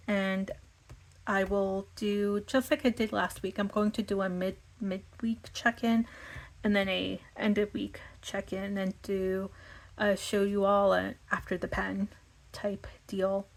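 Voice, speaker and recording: A woman with a slightly raspy voice, chatting casually and thinking aloud with lots of fillers as she plans her week. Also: mid-range voice, little pitch movement, measured pace, low-quality recording. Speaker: vlogger. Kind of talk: casual planning chat.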